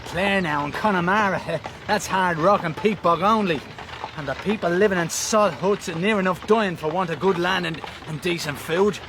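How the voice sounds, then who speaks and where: Garrulous Irish servant, fairly high voice. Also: male voice, normal speed. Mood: chatty, deferential. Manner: chatty, colourful, deferential. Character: garrulous, deferential Irish servant and coach driver. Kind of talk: radio drama acting. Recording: noisy outdoor vehicle sound effects.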